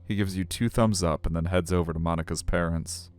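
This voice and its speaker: Deep-voiced man streaming a story game. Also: steady tone, fairly quick, a little mellow. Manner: joking, chatty. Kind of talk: live reading of a visual novel with casual commentary. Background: game music.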